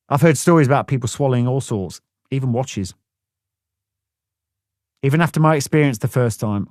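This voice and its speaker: A man's voice: medium pitch, darkish tone, no room sound, clean studio sound. A man narrating a first-person memoir audiobook in a blunt, plain-spoken way, swearing freely.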